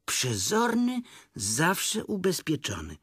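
A man acts out a tiny piglet from a children's story. He is eager, naive and a little anxious. The voice sits higher than a grown man's and has a breathy rasp. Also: wildly swooping pitch, slow, fairly bright tone, no echo, clean studio sound, heavily acted. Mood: eager, admiring. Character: small, earnest, anxious and admiring male piglet.

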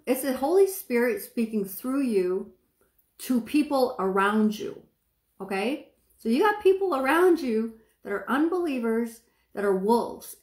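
Woman, middle-aged, soft speaking voice, slightly high, casual preacher, asks listeners questions, echoey room. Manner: chatty, asks the viewer questions, stretches words for emphasis. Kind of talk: informal sermon to camera. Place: small room indoors, seated.

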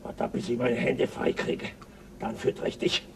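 A man's voice: normal speed, distant mic, slight background noise, natural voice. An actor playing a bound, hostile crewman who curses and threatens in a radio play. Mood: paranoid snarling. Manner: snarling, threatening. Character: bound, hostile male crewman, paranoid and cursing.

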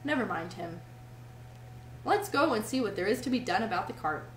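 A woman's voice: medium pitch, animated, moderate pace, roomy sound, background noise, natural voice. A woman voicing a fiery, practical male water rat in a storybook, speaking firmly and emphatically. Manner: emphatic, indignant, firm.

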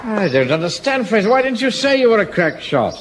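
A pompous bossy Home Guard captain. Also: male voice, high voice, big pitch swings. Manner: brisk, bossy, officious. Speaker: pompous platoon commander. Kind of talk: 1970s radio sitcom acting. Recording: hissy old radio recording.

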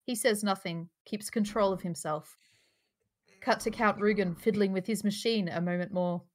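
A woman's voice: medium pitch, moderate pace. A woman reading out screenplay stage directions as narrator in a live table read over a video call. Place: indoors, on a group video call.

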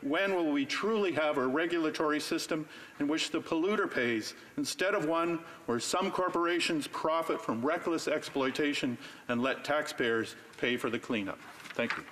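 A man's voice: on the high side, normal speed, fairly bright tone. A man, a member of parliament, giving a prepared floor speech and pressing pointed rhetorical questions. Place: legislative chamber.

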